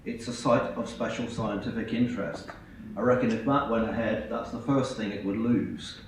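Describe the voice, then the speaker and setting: A man with a hoarse voice from a cold, giving an earnest, halting presentation with slides at a public meeting. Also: lowish voice, steady tone, slight background noise. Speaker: invited speaker at a public meeting. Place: large echoey meeting hall. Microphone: far from the microphone. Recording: echoey hall, distant, dull sound.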